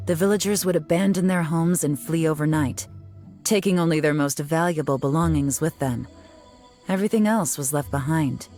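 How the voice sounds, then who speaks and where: A woman voicing a cool, confident female investigator who speaks in a calm, measured, teasing way. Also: deep voice, natural voice. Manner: calm, measured, quietly menacing.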